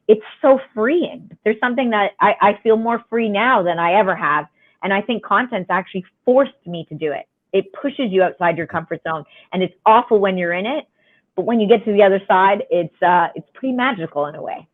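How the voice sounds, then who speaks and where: A woman guest on a podcast with a raspy voice, telling her story frankly and chattily over a remote call. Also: mid-range voice. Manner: frank, chatty, laughs often. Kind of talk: candid conversational storytelling. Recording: tinny phone-line sound.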